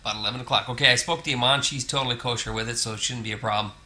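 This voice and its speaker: A man's voice: medium pitch, steady tone, rapid-fire, pushed and bright. A man filming his own road trip, chatting casually to the camera with joking asides. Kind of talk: casual self-narration to camera. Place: on the road and in a parking lot.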